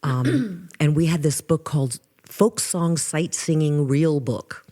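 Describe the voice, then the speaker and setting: An older woman from New with a low voice, telling lively stories as a guest in a casual interview. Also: slow pace. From New York. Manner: animated storyteller, restarts often. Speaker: guest musician.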